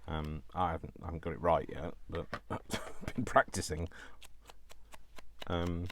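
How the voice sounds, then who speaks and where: Man in his fifties, deep voice, rambling self-mocking crafting host, faint noise. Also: little pitch movement, measured pace. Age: 50s. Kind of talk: chatty crafting vlog. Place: home craft room. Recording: faint background noise and clicks.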